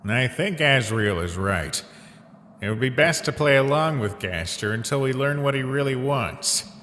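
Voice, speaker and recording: A man's voice: mid-range voice, lively intonation, normal speed, fairly bright tone, a little hiss or hum, natural voice. A man acting a guilt-ridden, evasive skeleton who speaks hesitantly and defensively in a dramatic fan comic dub. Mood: wary, evasive. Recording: long reverb under background music.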